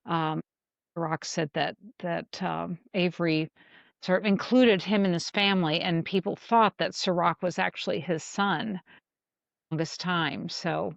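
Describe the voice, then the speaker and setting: A woman co-hosting a casual fan discussion, speaking haltingly as she searches for words, on slightly muffled audio. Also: fairly low pitch, steady tone, creaky, no room sound. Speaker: podcast co-host.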